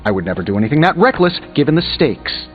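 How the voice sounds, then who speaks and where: A cocky tech billionaire superhero for a game, highish swoopy voice, sarcastic quips, music under it, bit muffled. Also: male voice, big pitch swings, fast. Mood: exasperated, quippy. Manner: glib, sarcastic, quippy banter. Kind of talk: video game voice acting.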